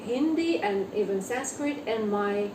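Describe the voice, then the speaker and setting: A woman's voice: mid-range voice, expressive, measured pace. A woman from Ukraine, a native Ukrainian speaker, answering as a guest author in an interview, hesitant with many fillers. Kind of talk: interview conversation. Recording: echoey room, distant mic, noisy.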